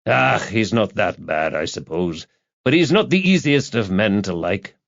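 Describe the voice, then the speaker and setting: A man voicing a guarded, reluctant confidant, measured and discreet in a matter-of-fact way. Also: lowish voice, very animated, creaky, no room sound, dull audio, full character voice. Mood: grudging.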